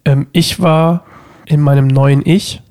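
A man's voice: a little high, steady tone, slow, darkish tone. A young man with a stuffy cold, co-hosting a casual podcast from his living room, playful and rambling. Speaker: podcast co-host. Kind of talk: casual couple podcast chat.

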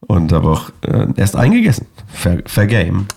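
A man in his thirties, sick and hoarse, chatting casually and joking as co-host of a podcast. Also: fairly low pitch, wildly swooping pitch, a little slow, a little mellow. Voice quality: raspy, gravelly. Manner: joking, rambling. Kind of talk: casual chat.

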